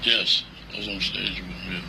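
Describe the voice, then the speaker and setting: A middle-aged man, talking in a halting, slangy, rambling way in a recorded interview. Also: little pitch movement. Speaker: interviewee recounting past events to detectives. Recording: very noisy archived interview, vehicle-like rumble.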